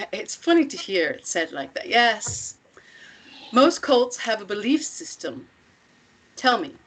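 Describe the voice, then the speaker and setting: A woman playing an older, self-assured commune matriarch in a script table read, speaking in a measured, deliberate way with pointed rhetorical questions. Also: on the low side, poor audio. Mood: calm, assured.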